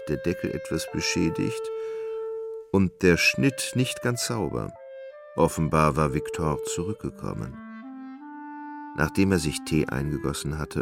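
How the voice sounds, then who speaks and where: A man narrating a literary radio play in a measured, expressive storytelling manner. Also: very deep voice, vocal fry.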